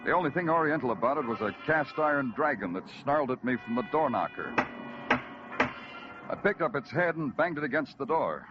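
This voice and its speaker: An actor playing a tough police detective who narrates in a terse, hard-boiled way with dry wit, 1940s radio acting on a lo-fi old recording with music. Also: male voice, mid-range voice, big pitch swings, moderate pace, lightly acted.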